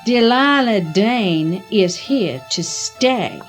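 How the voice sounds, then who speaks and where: Woman acting a slow-talking, defiant oilwoman. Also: mid-range voice, animated, soft background music. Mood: smugly triumphant. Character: defiant, calculating oilwoman out to blackmail a rival. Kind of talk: audio drama acting.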